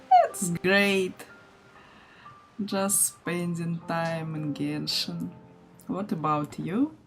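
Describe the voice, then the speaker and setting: A woman from Ukraine with a Ukrainian accent, native in Ukrainian and Russian, chatting casually in halting English while streaming a game. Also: lowish voice. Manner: slow, halting English with laughs. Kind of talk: casual chat while gaming.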